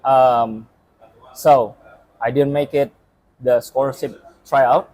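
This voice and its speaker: A man's voice: medium pitch, fairly even intonation, slow, darkish tone. A young man, an assistant coach, recounting his path in a casual chat with many ums and hesitations. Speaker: former player and assistant coach.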